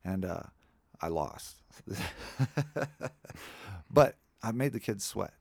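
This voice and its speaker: A man who is a musician, telling a childhood story as a guest in a relaxed, rambling way. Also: low-pitched, takes it slowly, creaky, no room sound, clean studio sound. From Colorado. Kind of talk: casual storytelling in an interview.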